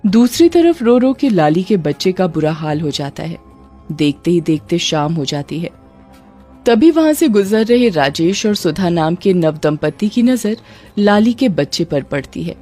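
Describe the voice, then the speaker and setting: A woman's voice: lowish voice, sing-song, measured pace, warm tone, faint music behind. A woman narrating a scripted story as a storyteller.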